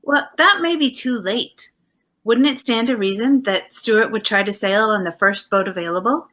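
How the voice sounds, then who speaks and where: An actress playing a detective's loyal, insistent wife in 1940s radio style, emphatic and pleading. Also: female voice, medium pitch, normal speed, a bit bright, natural voice. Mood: urgent, insistent.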